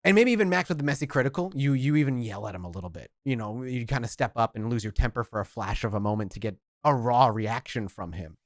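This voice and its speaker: A man's voice: mid-range voice, quick pace, few pauses, no echo, clean studio sound. A man working as game master, improvising narration for a tabletop vampire game and often revising his sentences as he goes.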